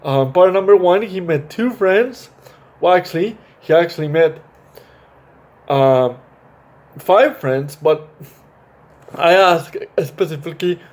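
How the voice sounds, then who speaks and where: A man with a fairly high voice speaks very slowly as a storytime host. He talks to young viewers in a halting way, repeating and correcting himself as he goes. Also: a little mellow. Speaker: storytime video host reading a children's book. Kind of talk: children's story read-aloud with chatty asides to young viewers.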